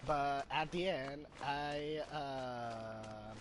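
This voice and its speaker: High-pitched man casually commentating a game. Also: a little hiss or hum. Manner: halting, searching for words. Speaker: game streamer. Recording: game music behind the voice.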